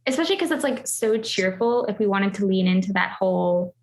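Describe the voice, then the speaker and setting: A woman's voice: mid-range voice, expressive, a little fast. A woman talking casually on a book club podcast, enthusiastic and playful.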